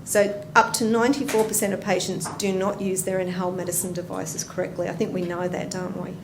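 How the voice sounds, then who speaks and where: A woman's voice: on the low side, little pitch movement, brisk, vocal fry. A woman with an Australian accent, a nurse educator giving a chatty, anecdotal conference talk and asking the audience questions. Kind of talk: conference presentation. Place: conference room. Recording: roomy hall with background noise.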